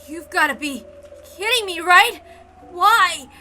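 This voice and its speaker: A woman's voice: extremely high pitch, expressive, slow, sharp tone, a little hiss or hum, voice pushed up, exaggerated voice. Woman acting an anguished, hot-headed young man. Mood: shocked. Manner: emphatic, emotional outbursts. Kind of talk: visual novel dramatic reading. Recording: background music under the reading.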